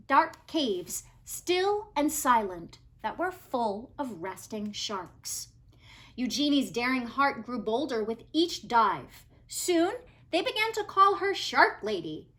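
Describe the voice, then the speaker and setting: A woman reading a children's picture book aloud, expressively, with dramatic pauses and emphasis on key words. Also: high-pitched, a bit bright. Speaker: read-aloud storybook reader.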